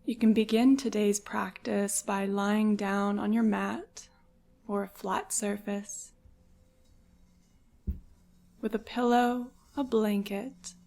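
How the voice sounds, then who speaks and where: A woman leading a guided meditation as a yoga nidra guide, speaking softly and soothingly without hurry, with breathy phrase endings. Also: medium pitch, steady tone, takes it slowly, pauses often.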